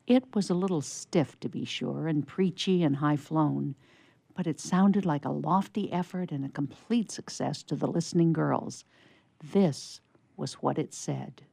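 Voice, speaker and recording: A woman's voice: low voice, measured pace, vocal fry, dark tone, no room sound. A woman narrating a scripted story for radio theatre in a storybook style.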